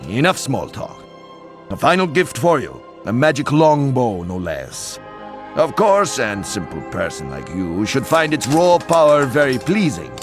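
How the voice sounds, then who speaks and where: A man's voice: mid-range voice, very animated, measured pace, over music. An actor playing a snobbish, flippant aristocrat in a video game, condescending and affected in his speech. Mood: haughty, flippant. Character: snobbish, flippant male aristocrat looking down on commoners. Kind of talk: video game cutscene acting.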